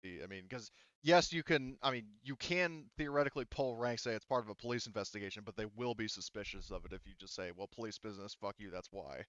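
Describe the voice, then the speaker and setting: A man's voice: fairly low pitch, a bit bright, dry recording, highs cut off. A man running a tabletop game as game master, talking casually and often stopping to correct himself as he narrates. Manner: halting, self-correcting.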